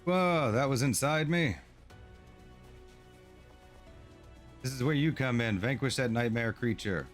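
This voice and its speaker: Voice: mid-range voice, moderate pace, close to an everyday voice. An actor voicing a proud, aloof fae guardian in a game cutscene, theatrical in manner, over faint game music.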